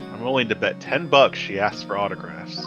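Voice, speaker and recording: A man's voice: lowish voice, animated, fairly quick, dull audio. A man streaming casually, bantering and reading game dialogue aloud. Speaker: streamer voicing game characters. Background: music.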